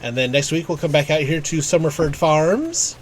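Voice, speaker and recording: A man's voice: fairly high pitch, normal speed, a little hiss or hum. A man giving casual let's-play commentary as a game streamer.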